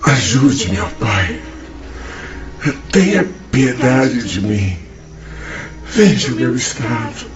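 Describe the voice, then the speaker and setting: An actor playing the tormented, mangled spirit of a suicide in a radio drama, desperate, anguished, pleading and ranting. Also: male voice, mid-range voice, lively intonation, slow pace, pauses often. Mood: weak pleading. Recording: dull, echoey studio track under loud music.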